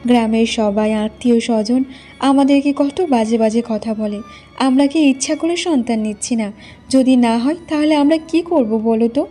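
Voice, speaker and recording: A woman's voice: on the high side, moderate pace, warm tone, faint music behind, natural voice. A woman playing a meek, sorrowful village wife in a cartoon, speaking in a plaintive, earnest way. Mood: hurt, pleading. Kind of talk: Bengali moral cartoon voice acting.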